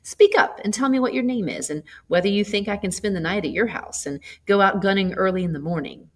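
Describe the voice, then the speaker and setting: A woman's voice: mid-range voice, fairly quick, voice pushed up, close to an everyday voice. A woman voicing a cheerful, gallant young man in a storybook, speaking politely and persuasively. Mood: kindly, gallant. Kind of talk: storybook character voice.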